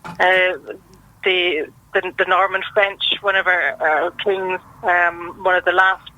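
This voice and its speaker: A Scottish woman, a guest on a radio interview, explaining in a measured, patient way. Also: on the low side, level tone, sharp tone, lo-fi sound. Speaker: guest from a language board.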